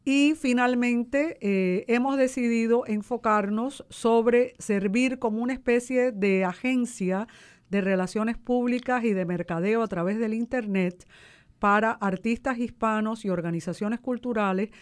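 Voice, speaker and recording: A woman's voice: fairly high pitch, measured pace. A woman who founded an arts organization, speaking as an interview guest and explaining things. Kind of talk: promotional interview talk, explanatory.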